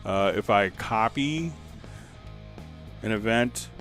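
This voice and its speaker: A man hosting a live software tutorial in an informal, conversational way, thinking aloud as he demonstrates. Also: fairly low pitch, lively intonation, slow pace, soft background music. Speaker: livestream host and software instructor.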